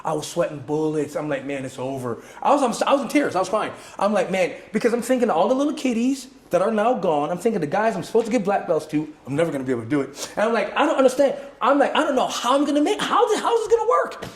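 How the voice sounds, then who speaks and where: A middle-aged man from Jamaica tells stories in an interview, his voice sitting a little high for a man. He keeps acting out other people's lines in shifting voices and often restarts his sentences. The room around him sounds echoey. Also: sing-song, quick pace, few pauses. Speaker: martial arts instructor and guest. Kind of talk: animated storytelling in conversation.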